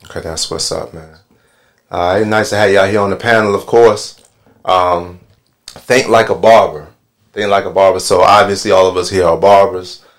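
A man's voice: low-pitched, normal speed. A man hosting a casual podcast panel, talking loosely with lots of ums and restarts. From Northern Virginia. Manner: relaxed, filler-heavy with many ums. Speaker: podcast host and barber.

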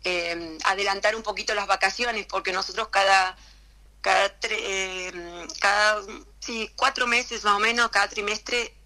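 A woman with an Argentine Spanish accent answers questions in an easy, chatty way. Her voice is very bright and bright-edged. She often hesitates, trailing off and starting again. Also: mid-range voice, steady tone, measured pace, low-quality recording. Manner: hesitant, many fillers and restarts. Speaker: interview guest. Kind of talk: casual conversational interview.

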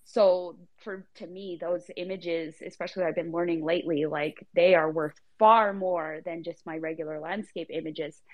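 Chatty woman, fairly low voice, lots of "like", relaxed conversational. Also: poor audio. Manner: chatty, frequent "like". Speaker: photographer guest. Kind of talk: casual live audio chat.

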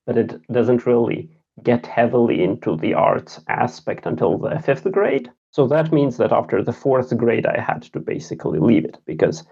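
A man in his thirties from Latvia, a game designer talking about himself in a casual podcast interview, halting and self-deprecating. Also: medium pitch, animated, gravelly, a little mellow, breathy edge, muffled recording. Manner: halting, many filler sounds, self-deprecating. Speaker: game designer and programmer, guest.

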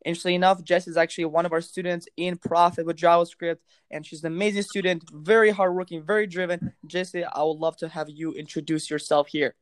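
A man hosting a podcast, enthusiastic and quick to laugh, often repeating his words. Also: a little high, level tone, no room sound, studio quality. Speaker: podcast host. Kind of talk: casual interview chat.

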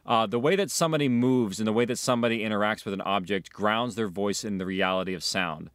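A man's voice: on the low side, quick pace, a bit bright, smooth, dry recording, studio quality. A man speaking as an audio drama producer, earnestly explaining the craft in a documentary interview. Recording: faint music underneath. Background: faint music.

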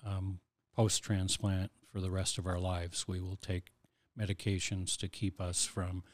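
Older guy, low monotone voice, explains stuff slow with lots of ums, close dry mic. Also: no echo. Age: 60s. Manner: explanatory, measured, with many ums. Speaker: heart transplant recipient guest. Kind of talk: casual interview talk.

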